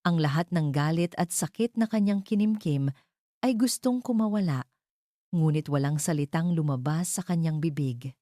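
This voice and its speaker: Female narrator for romantic audio drama; low, soft-edged mellow voice, dry close sound. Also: moderate pace, dry recording. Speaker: storyteller.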